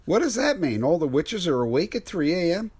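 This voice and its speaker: A man acts a worn-out, skeptical truck driver for a radio mystery. The voice is husky and swings widely in pitch, with folksy wisecracks in plain working talk. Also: sing-song, fairly quick, darkish tone. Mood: amused, skeptical. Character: weary, skeptical, joking male truck driver. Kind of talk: radio mystery drama acting.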